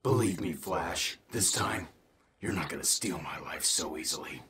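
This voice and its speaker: A man voicing a cold, vengeful comic-book supervillain in a slow, deliberate, threatening growl. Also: lively intonation, sharp tone, faint music behind. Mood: cold menace. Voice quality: low menacing growl.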